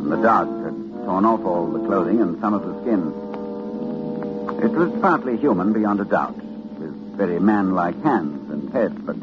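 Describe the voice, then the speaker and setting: A man acting a learned, hesitant university librarian recounting horror in a cultured, dramatic manner, in 1940s radio suspense style. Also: on the low side. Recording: dull old radio recording with music.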